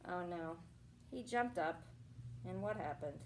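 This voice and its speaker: A woman reading a picture book aloud to young children as a teacher, explaining things simply and pausing for questions and asides. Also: on the low side, expressive, a little mellow, a little hiss or hum, rough recording.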